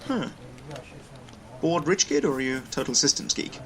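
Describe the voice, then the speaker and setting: A man playing a busy, sardonic logistics technician with a dry, brisk manner in an audio drama. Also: on the high side, lightly acted.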